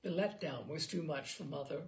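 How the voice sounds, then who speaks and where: A woman's voice: deep voice, normal speed. A woman reading a literary short story aloud expressively, with theatrical emphasis on key words.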